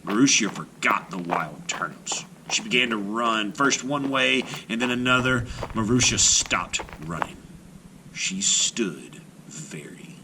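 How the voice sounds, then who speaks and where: A man reading a children's folktale aloud as scripted narration, with dramatic delivery and hushed phrase endings. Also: fairly low pitch, animated, a little slow, noisy background. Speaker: storyteller, children's read-aloud host. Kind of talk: expressive scripted storybook narration.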